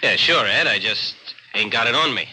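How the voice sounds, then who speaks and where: An actor playing a nervous small-time gambler in an old radio crime drama, wheedling and slangy. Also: male voice, mid-range voice, lively intonation, moderate pace, sharp tone, poor audio. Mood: nervous placating. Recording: hissy old radio recording.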